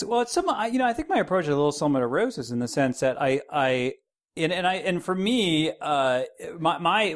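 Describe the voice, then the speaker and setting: A man talking casually as a podcast guest, recounting his process in a halting way with repeated restarts. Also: a little high. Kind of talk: casual interview conversation.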